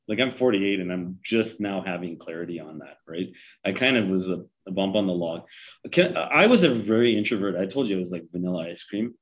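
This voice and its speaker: A man in his forties speaks in a halting, easygoing way, full of fillers and restarts. His voice sits slightly low. The audio sounds dull and lo-fi. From Sri Lanka. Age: 40s. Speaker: guest. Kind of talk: casual interview conversation.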